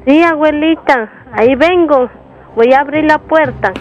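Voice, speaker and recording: A woman's voice: high-pitched, unhurried. A woman playing a naive, cheeky young ranch girl with a Mexican accent, whiny and coy in a comedic radio soap. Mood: cheeky, flustered. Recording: dull lo-fi, music behind.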